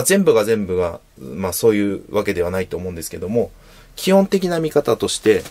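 Thirties male host, low voice with a lively swing, casual and patient explainer, lots of filler pauses, small room and camera mic. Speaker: sword channel host. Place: small indoor room at home.

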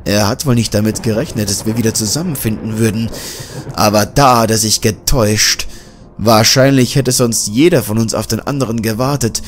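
A man's voice: fairly low pitch, normal speed, slight background noise, close to an everyday voice. A man voicing a determined male journalist who speaks tensely.

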